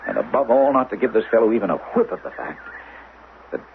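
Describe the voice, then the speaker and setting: An American actor playing a protective, persuasive doctor husband in a 1970s radio drama, measured but sometimes haltingly hesitant. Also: male voice, mid-range voice, animated, vocal fry. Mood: reasoning, resolute. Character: reasoning, protective doctor husband hiding a dangerous secret. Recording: lo-fi radio broadcast with hiss.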